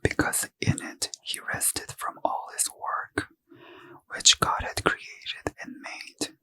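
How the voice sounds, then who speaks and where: A man reading scripture as a bedtime ASMR story in a breathy, hushed, careful whisper, close to the mic. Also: no room sound, low-quality recording. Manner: slow, careful, hushed delivery. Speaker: ASMR reader.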